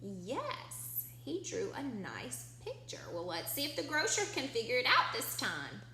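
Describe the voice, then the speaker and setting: A woman reading a picture book aloud to children like a teacher, expressive and encouraging, drawing out key words and putting questions to her listeners. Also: a little high, a little slow. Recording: echoey room, faint background noise.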